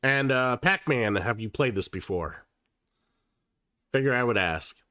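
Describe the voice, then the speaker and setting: Male streamer, under the weather, slightly nasal, mid-pitched, loose profane chatter, dry close mic. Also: lively intonation, measured pace, pushed and bright, smooth, dry recording. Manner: casual and profane, with many fillers and restarts. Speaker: livestream host. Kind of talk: casual livestream chat.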